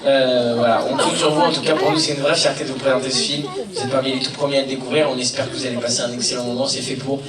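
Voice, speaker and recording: A man jokes and teases his way through a live film presentation, talking straight to the crowd at an average pitch. He sounds distant and echoey, as if from the stage of a big cinema hall. A loud audience murmurs and reacts all around him. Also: flat delivery, racing, few pauses. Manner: teasing, playful, addresses the crowd directly. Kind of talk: joking live address to a crowd. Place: large cinema auditorium.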